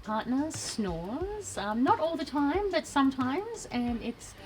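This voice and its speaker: Giggly woman in her thirties, higher voice. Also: very animated, slow pace, soft background music, rough recording. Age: 30s. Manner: chatty, giggles between phrases. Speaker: interviewee. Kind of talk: casual interview answers.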